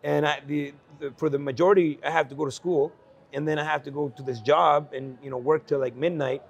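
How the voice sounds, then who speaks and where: Gravelly-voiced man telling his story. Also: on the high side, steady tone, muffled recording. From El Salvador. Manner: earnest, frequent fillers and restarts, quick laughs. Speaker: guest entrepreneur. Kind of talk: candid conversational storytelling. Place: investment conference.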